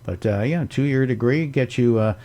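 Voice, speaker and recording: A man hosting a radio talk show, asking questions in a halting way with frequent fillers. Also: mid-range voice, mellow. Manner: halting, many fillers and restarts. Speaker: radio host. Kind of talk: radio interview.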